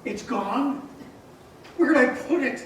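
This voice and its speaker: Guy acting flustered old uncle, super high voice, stammery, radio play, far and echoey. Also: expressive, slow, a bit bright, heavily acted. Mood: distraught. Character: scatterbrained, excitable older uncle. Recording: distant echoey stage recording, faint noise.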